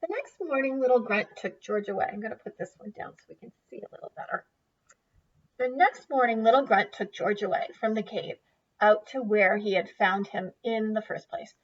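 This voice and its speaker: A woman's voice: medium pitch, moderate pace, a little hiss or hum. A woman teacher reading a children's picture book aloud expressively, in a distant, slightly muffled recording.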